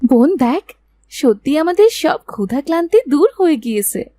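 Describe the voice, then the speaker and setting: Woman as resolute cartoon elder sister, mellow, fairly high, earnest, animated. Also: normal speed, dry recording. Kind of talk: cartoon fairy-tale voice acting.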